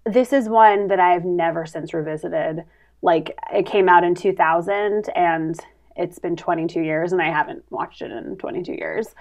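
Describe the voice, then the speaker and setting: A woman's voice: fairly low pitch, normal speed. Chatty American woman co-hosting a podcast. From New Jersey, United States. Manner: chatty, playful, uses "like" often. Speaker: podcast co-host. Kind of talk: casual comedic podcast chat.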